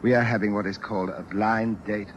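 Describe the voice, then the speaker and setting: Eerie courtly gentleman acted by a man, low voice, precious formal diction, vintage radio drama, muffled and hissy. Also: fairly even intonation, fairly quick, lightly acted. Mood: courtly, solicitous. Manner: formal, precious, recites verse. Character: courtly, eerie male poetry-quoting suitor, soft-spoken and unsettling. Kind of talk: old-time radio drama acting.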